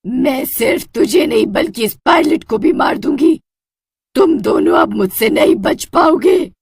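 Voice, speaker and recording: A woman's voice: high-pitched, expressive, unhurried, gravelly, darkish tone, breathy edge, no echo, studio quality, voice pushed up, heavily acted. A woman voicing an angry, protective witch in a cartoon horror story, with a threatening, dramatic and commanding manner. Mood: furious, threatening. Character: angry, protective witch, a dead air hostess.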